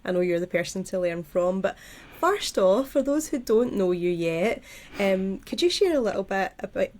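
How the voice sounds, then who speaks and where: A woman's voice: mid-range voice, lively intonation, normal speed, a little mellow. A woman hosting a podcast interview, asking questions with eager enthusiasm. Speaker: podcast host.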